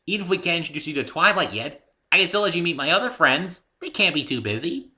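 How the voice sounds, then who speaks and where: A man voicing a bubbly, excitable young female cartoon pony with a perky, exclamatory delivery in a story reading. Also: a little high, quick pace, pitched above the performer's own voice, heavily acted. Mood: eager.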